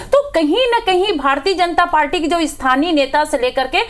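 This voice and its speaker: A woman's voice: high voice, animated, moderate pace, a bit bright. A woman with a Chhattisgarhi accent arguing insistently as a party spokeswoman in a political TV debate. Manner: argumentative, insistent.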